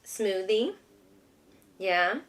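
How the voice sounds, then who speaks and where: A woman with a mid-range voice reads a picture book aloud at an unhurried pace. She speaks simply, as a mother teaching a young child at home. She pauses to prompt with easy questions and encourages the answers patiently. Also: medium pitch, lively intonation. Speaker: homeschooling mother teaching her child to read.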